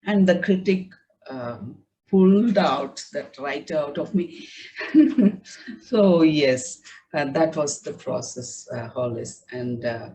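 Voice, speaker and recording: Woman, native Tamil speaker, low unhurried voice, halting reflective guest heard from a distance on a video call. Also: lively intonation, warm tone. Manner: hesitant, many fillers and restarts. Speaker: interview guest, writer. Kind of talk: reflective conversational interview.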